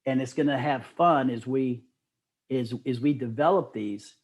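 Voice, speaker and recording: A middle-aged man telling stories casually as an interview guest, with an animated, enthusiastic manner. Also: medium pitch, measured pace.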